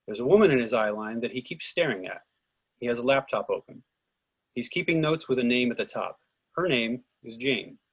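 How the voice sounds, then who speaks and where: Husky man reading stage directions. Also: mid-range voice, lively intonation, fairly quick, low-quality recording. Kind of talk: scripted narration of stage directions.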